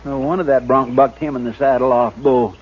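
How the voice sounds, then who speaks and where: A man's voice: mid-range voice, fairly even intonation, a little fast, natural voice. A loyal, simple-hearted deputy, played by a man, with a mid-range voice, folksy and deferential. He calls his boss sir at every turn. Heard on a dull old radio recording with faint hiss. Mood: earnest. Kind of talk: 1950s radio drama acting.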